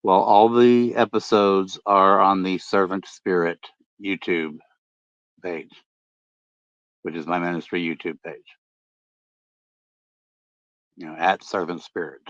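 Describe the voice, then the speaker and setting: A middle-aged man with a deep voice, chatting casually late at night and rambling with many fillers and restarts. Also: steady tone, takes it slowly, long pauses, dry recording. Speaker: podcast host and ham radio vlogger. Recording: dull lo-fi dry sound.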